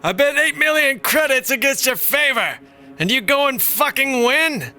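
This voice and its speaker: A man's voice: extremely high pitch, expressive, moderate pace, pushed and bright, faint music behind, pitched above the performer's own voice, heavily acted. A man voicing an angry, crooked racing manager in a sci-fi audio drama, profane and menacing. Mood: enraged.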